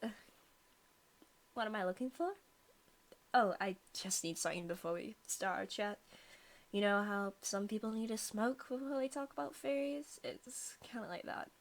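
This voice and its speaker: A young woman playing a possessive female vampire student in an intimate ASMR roleplay, softly spoken, partly whispered, coy and taunting. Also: mid-range voice, close to an everyday voice. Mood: casual, distracted. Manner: soft, slow, coy talk sliding into taunting. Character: female student vampire, possessive, sweet-talking, menacing predator.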